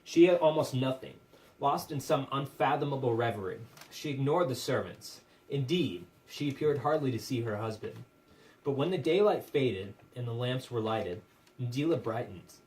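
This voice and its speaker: A man narrating a puppet show as dramatic storytelling, in a measured, theatrical, literary manner. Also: medium pitch, lively intonation, a little mellow. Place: live puppet show performance, room. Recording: distant microphone with faint room noise.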